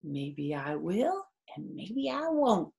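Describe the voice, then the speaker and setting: A woman's voice: lowish voice, big pitch swings, slow pace, creaky, darkish tone, exaggerated voice. Woman playing a cheeky little girl fox. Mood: teasing. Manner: teasing, stubborn.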